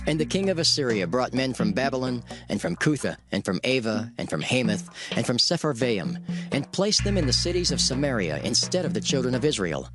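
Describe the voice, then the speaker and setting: A male narrator reads scripture as drama, solemn and measured, with emphatic phrasing. His mid-pitched voice moves with lively inflection over loud music.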